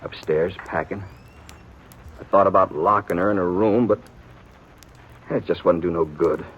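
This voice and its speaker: A man's voice: low voice, steady tone, a little slow, husky. An American man playing a hot-tempered, stubborn, aggrieved frontier brother in a 1950s radio western, with agitated rustic speech. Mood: defeated, troubled. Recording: muffled, faintly noisy old radio broadcast.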